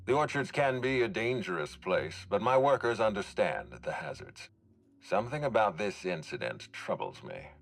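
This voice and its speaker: A guarded executive in a video game drama. His voice is very low, with lively rises and drops in pitch. He speaks formally and courteously, with a dry, stern edge and measured phrasing. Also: creaky, heavily acted. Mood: measured concern. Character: formal, guarded male executive overseeing hotel and orchards.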